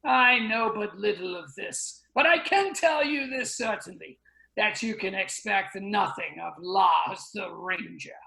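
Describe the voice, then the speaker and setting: An actor performs a grave elder spokesman, weary and embittered. The words come slowly and deliberately, with a heavy creak. The delivery is declamatory, like a staged reading of a science fiction tale. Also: mid-range voice, pushed and bright, rough recording. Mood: indignant. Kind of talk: dramatic virtual play reading. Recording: video call reading, uneven sound.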